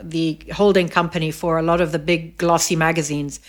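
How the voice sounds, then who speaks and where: A woman's voice: sits low, level tone, a little fast. A middle-aged woman from Egypt, raised abroad, speaking as a podcast guest. She talks reflectively, with many fillers and restarts. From Egypt, raised in the US, Saudi Arabia and England. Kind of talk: reflective interview conversation.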